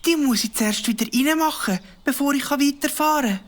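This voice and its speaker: A woman voices a gentle young kid with a Swiss German accent. The voice sits high and soft. It is part of a children's storybook radio play. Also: normal speed, natural voice. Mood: chatty.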